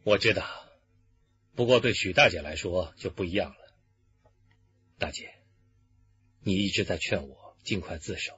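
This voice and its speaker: Deep-voiced, cold young murderer. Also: male voice, a bit bright, highs cut off. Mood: composed, resigned. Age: young adult. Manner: unrepentant, coldly deliberate. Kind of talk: Chinese radio crime drama acting.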